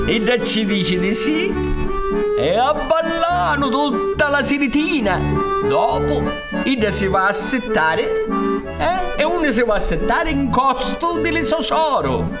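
A man in his sixties with a Sicilian accent narrating a fairy tale theatrically, in an expressive, conspiratorial way. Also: very high-pitched, slow pace, loud music behind the voice. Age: 60s. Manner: expressive, chuckling, conspiratorial asides. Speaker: storyteller. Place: theatre stage. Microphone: studio microphone on stand.

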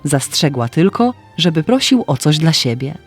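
A man's voice: fairly high pitch, sing-song, moderate pace, faint music behind. A man narrating a scripted fairy tale for children as a storyteller.

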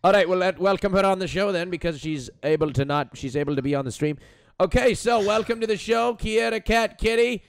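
A man's voice: high voice, brisk, vocal fry, hardly any pauses, smooth, no room sound. A man hosting a casual livestream panel, talking informally to his guests. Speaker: livestream show host. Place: indoor room, online group video call.

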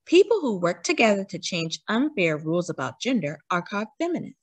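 A woman reads a picture book aloud for young children. Her medium-pitched voice has a husky edge and swings widely in melody. She is expressive and often turns to her little listeners with a question. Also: a little fast, no echo. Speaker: read-aloud storyteller for children.

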